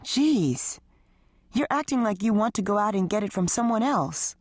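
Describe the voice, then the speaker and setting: A woman voicing an exhausted, stressed wife who speaks in a pleading, strained way, a little higher than her narration. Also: lively intonation, normal speed, darkish tone, no room sound, natural voice. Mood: upset.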